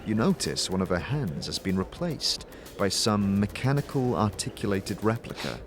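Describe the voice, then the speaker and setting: Male narrator for fantasy audio drama, animated and varied in pitch, music underneath. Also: normal speed. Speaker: narrator and lead actor. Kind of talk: scripted second-person fantasy narration.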